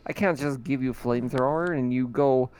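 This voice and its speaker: A man's voice: mid-range voice, normal speed, a little mellow, a little hiss or hum, lightly acted, rough recording. A man voicing an irritated male armorer at a base who refuses to hand over weapons. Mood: defensive. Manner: indignant, defensive.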